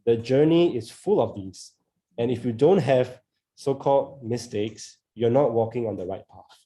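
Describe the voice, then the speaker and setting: A man from Singapore with a Singaporean accent, sharing his thoughts in a relaxed group conversation on a video call, often laughing and filling pauses. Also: medium pitch. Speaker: co-organiser of a discussion group.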